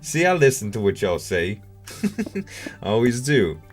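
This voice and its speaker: Deep-voiced man casually narrating gameplay. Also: very animated, a little slow, soft background music. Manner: rambling, thinking aloud. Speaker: gaming video host.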